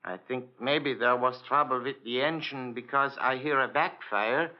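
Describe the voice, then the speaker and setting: Obliging Scandinavian ship's purser played by a man, halting broken English, mid-pitched, dull vintage radio sound. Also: lightly acted. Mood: helpful, earnest. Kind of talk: 1940s radio drama acting. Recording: dull old radio recording.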